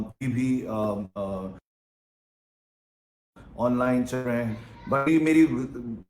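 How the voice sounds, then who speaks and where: Very fast-talking man in an echoey room. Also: medium pitch, darkish tone, slight background noise. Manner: urgent, fast, with restarts. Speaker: panel speaker. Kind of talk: webinar remarks.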